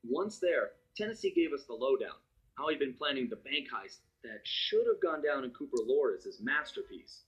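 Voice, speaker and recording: An actor playing a cool, wry gang-leader thief, telling his adventures as dramatic narration in an animated game cutscene. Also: fairly high pitch, slightly rough, full character voice, poor audio. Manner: dramatic, conspiratorial storytelling.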